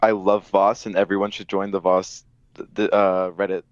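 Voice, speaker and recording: A man with a fairly low voice talks casually on a podcast panel. His audio is dull and lo-fi, with a dry sound and little room. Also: little pitch movement, normal speed, no echo. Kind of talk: casual group chat.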